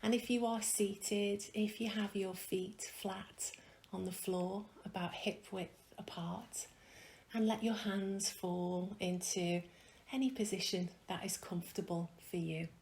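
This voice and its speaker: Slow, soft woman guiding a meditation. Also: medium pitch, steady tone. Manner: soft, soothing, gentle delivery with long pauses. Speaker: meditation guide. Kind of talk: guided meditation.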